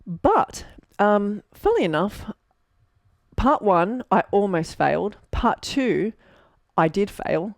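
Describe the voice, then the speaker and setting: A woman's voice: medium pitch, big pitch swings, takes it slowly, dry recording, clean studio sound. An Australian woman in her forties, an architect, telling her story casually with many fillers and self-corrections. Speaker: architect and mentor.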